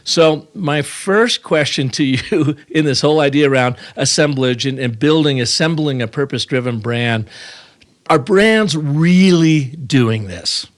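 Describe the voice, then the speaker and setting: A man's voice: fairly high pitch, lively intonation, unhurried. A man hosting an interview podcast who asks questions in a conversational, musing way, thinking aloud as he talks. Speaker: podcast host.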